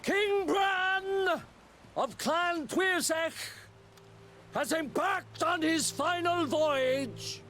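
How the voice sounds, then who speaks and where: An actor playing a solemn clan druid in a video game, declaiming a funeral oration in a grave, projected voice. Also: male voice, very high voice, extremely slow, sharp tone, slight background noise, exaggerated voice. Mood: solemn, rousing. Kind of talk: ceremonial oratory in a video game.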